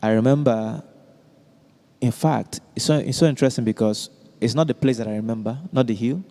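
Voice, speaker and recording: A man's voice: mid-range voice, a little fast, dark tone. A man hosting a reflective group conversation in a museum exhibition space, hesitant and searching for his words. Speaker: discussion host. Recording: long hall reverb.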